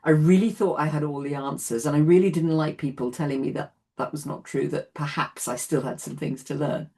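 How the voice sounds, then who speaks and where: Low-pitched woman teacher on a video call, reassuring, lots of "yeah". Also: steady tone, fairly quick, darkish tone, husky. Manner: reassuring, repeats "yeah", self-deprecating laughs. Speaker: forgiveness class teacher. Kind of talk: online class Q&A.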